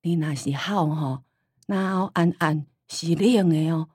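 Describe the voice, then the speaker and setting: A woman playing a forgetful, homely old grandmother in a radio drama, rambling and sighing with a Taiwanese accent and drawn-out sentence endings. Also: low voice, darkish tone, breathy edge, no echo, clean studio sound. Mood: wistful, rambling.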